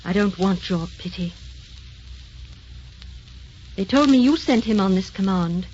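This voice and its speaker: An actress playing a proud, wronged and resigned wife in an old-time radio drama, formal and restrained. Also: female voice, medium pitch, lively intonation, a little slow, a little mellow, soft background music, lightly acted. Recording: muffled, noisy old radio recording.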